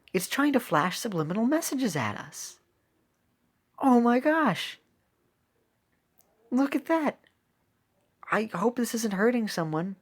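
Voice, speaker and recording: A woman with a low-pitched voice, chatting casually as a game streamer. She rambles at an unhurried pace and has a playful, giggly way of talking. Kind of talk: casual livestream chat over gameplay.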